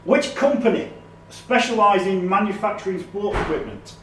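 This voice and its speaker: A man's voice: high-pitched, normal speed, a bit bright. A man acting as quizmaster, reading questions aloud and ruling on the answers. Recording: roomy, distant, noisy recording.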